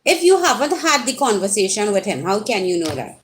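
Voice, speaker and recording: A woman's voice: medium pitch, sing-song, a little fast. A Trinidadian woman hosts a live tarot stream, talking casually to her viewers through a webcam mic. She teases them directly and her voice jumps up in sudden exclamations, with a faint hiss of noise underneath. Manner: chatty, teasing viewers directly, rising exclamations. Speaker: tarot reader.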